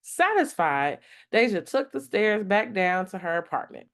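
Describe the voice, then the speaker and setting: A woman reading a children's picture book aloud as a storytime host, expressive and deliberate in her phrasing. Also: medium pitch.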